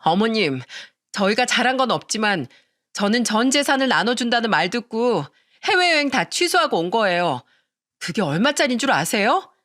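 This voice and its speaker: A woman's voice: a little high, very animated, slow pace, long pauses, fairly bright tone, no room sound, studio quality, exaggerated voice. A woman voicing a fussy, entitled eldest daughter-in-law in a family story, pleading and indignant in manner. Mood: indignant protest. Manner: gushing, whining, indignant.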